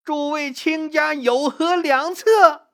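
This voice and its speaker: Casting: narrator putting on furious middle-aged empress, high voice, imperious and indignant, historical storytelling. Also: takes it slowly. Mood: commanding. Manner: indignant, loud, commanding.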